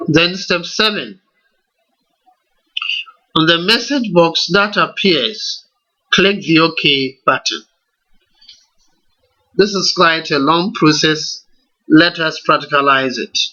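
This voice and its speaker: A man's voice: a little high, bright, forceful tone, highs cut off. A man with a Ghanaian English accent, walking learners through a computer tutorial step by step and asking them rhetorical questions. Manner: slow, deliberate step-by-step instruction with rhetorical questions. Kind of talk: screen-recorded software tutorial.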